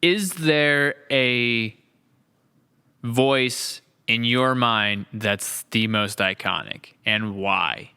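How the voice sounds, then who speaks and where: A man's voice: medium pitch, drawn-out words, pushed and bright, smooth. A man hosting a podcast in casual chat, effusive and rambling as he talks. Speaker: podcast host.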